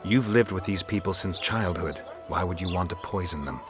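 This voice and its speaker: An actor playing a gruff, guarded monster hunter in a video game, terse and dry. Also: male voice, low voice, normal speed, lightly acted. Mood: firm. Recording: game music behind.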